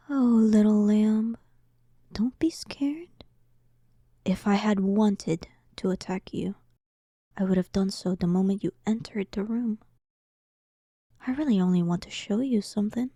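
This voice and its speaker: A woman playing a dominant, sarcastic demon queen in a roleplay audio drama, soft-voiced, teasing and condescending. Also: medium pitch, no echo, clean studio sound. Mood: mocking, amused.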